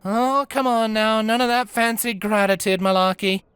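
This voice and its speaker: A man voicing a warm, teasing middle-aged mother who works as a baker, in a folksy, playful manner, close to a dry microphone. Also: sits high, steady tone, normal speed, smooth, no echo, pitched above the performer's own voice. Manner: folksy and playful, with a homely lilt. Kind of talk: storybook character voice.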